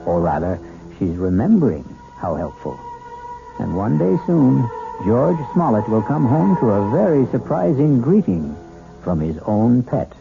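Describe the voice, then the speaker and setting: Male radio mystery host, very animated intonation, wry theatrical narration, muffled old recording, music behind. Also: mid-range voice, measured pace, gravelly.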